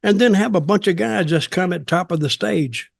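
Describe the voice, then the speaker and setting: A man in his seventies with a slightly high-set voice talks casually on a podcast. He rambles through opinions in a blunt, folksy way. The sound is dry, with very little room in it. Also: normal speed, gravelly, no echo. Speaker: podcast co-host and wrestling storyteller. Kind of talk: casual chat, opinionated commentary. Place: small home office, video call.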